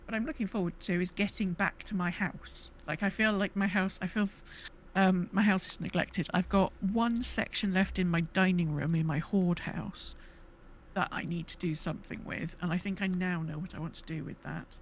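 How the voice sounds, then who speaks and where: A woman's voice: lowish voice, fairly even intonation, moderate pace. A woman co-hosting a podcast in an informal, chatty way, moving between casual conversation and reading out messages. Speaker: podcast co-host. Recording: clear background noise.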